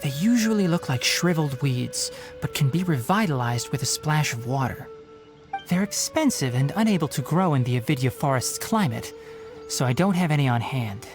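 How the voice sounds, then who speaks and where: A man voicing a brisk, no-nonsense young forest watcher and healer in a video game. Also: a little high, lively intonation, music in the background. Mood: explanatory, serious.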